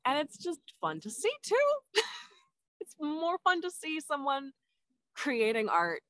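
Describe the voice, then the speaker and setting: A stage actor chatting as a podcast guest, lively and laughing often, with the pitch jumping up when excited. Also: female voice, a little slow, dry recording. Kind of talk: casual chat.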